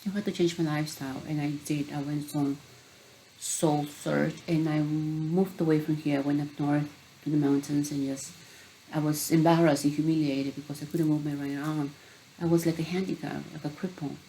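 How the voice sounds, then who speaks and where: A woman's voice: sits low, level tone, moderate pace, mellow, a little hiss or hum, low-quality recording. A middle-aged woman from Peru, a health coach, earnestly telling her own story in a personal vlog.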